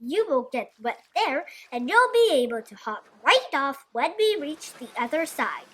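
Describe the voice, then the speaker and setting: A woman's voice: high voice, sing-song, unhurried, a bit bright, a little hiss or hum, full character voice. A woman voicing a sly, clever male fox with a coaxing, falsely helpful manner for a storybook. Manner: smooth coaxing, cunning.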